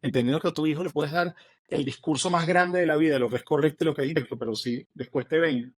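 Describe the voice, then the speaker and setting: Venezuelan guy talking fast, bit husky voice, dry close mic, lively storyteller. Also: fairly high pitch, no room sound. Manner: animated, fast, acts out quoted dialogue. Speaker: guest, author. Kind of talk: storytelling interview answers.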